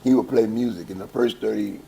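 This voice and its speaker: An older man from Chicago with a heavy Southern-sounding accent, a gospel choir founder telling stories in a relaxed, laughing, folksy way. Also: fairly low pitch, brisk, creaky, husky, low-quality recording. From Chicago, West Side. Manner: easygoing, laughing, folksy storytelling. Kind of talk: relaxed interview conversation.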